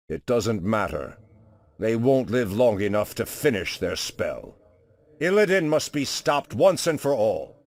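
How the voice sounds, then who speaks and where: A man voicing an ancient, solemn and commanding druid leader in a fantasy video game, with a grave, formal, theatrical delivery and a gravelly, husky voice. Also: fairly low pitch, no room sound, exaggerated voice. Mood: grieving, then urgent.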